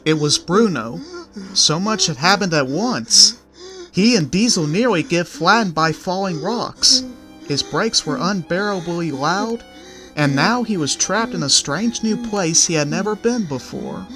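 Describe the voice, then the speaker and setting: A man's voice: high voice, expressive, a little slow, sharp tone. A man narrating a scripted children's story in the third person. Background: background music.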